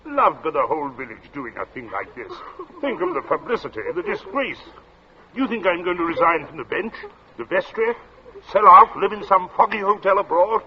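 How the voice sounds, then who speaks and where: Domineering English father, wildly swinging pitch. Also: sing-song, moderate pace, crowd noise. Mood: tense, indignant. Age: middle-aged. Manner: commanding, scornful, clipped outbursts. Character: domineering, snobbish middle-aged English father, panicked about disgrace. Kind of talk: 1940s radio suspense acting. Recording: dull old radio recording, faint noise.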